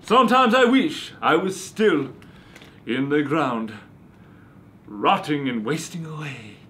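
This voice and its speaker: An ancient vampire host with a Transylvanian accent, pitched high and swinging wildly up and down. The voice is bright and forceful. He is cranky, hammy and foul-mouthed, holding forth like the star of a comic Halloween show. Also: expressive, takes it slowly, slight background noise. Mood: grumbling. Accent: put-on Transylvanian. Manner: hammy, ranting, profane. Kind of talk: comic in-character hosting.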